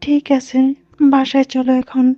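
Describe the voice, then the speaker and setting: A woman's voice: high-pitched, completely flat delivery, slow, dark tone, dry recording. A woman playing a respectful young student daughter in an Islamic moral cartoon, on a dry, slightly dull recording. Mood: polite.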